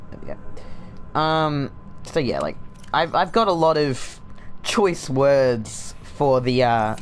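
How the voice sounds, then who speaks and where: A man's voice: medium pitch, slight background noise. A young man with a laid-back Australian accent, chatting casually and sardonically while streaming a game, with lots of fillers. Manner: rambling, sardonic, frequent fillers. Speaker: gaming streamer.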